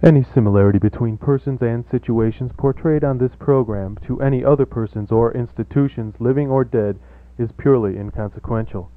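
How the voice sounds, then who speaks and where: A man reading a scripted radio disclaimer in a formal, deadpan manner, as an announcer. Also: medium pitch, brisk, hardly any pauses. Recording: tinny, narrow-band 1980s radio recording.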